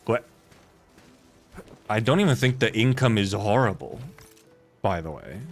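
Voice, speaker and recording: A man's voice: fairly low pitch, lively intonation, measured pace. Rambling, blunt male game streamer over music. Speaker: livestreamer. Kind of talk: casual gaming stream chat.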